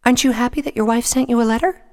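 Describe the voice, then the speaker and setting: A man voicing a gentle, earnest young female pegasus pony in a story, innocently curious and soft-hearted. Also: very high voice, fairly even intonation, racing, gravelly, in a large hall, voice pushed up.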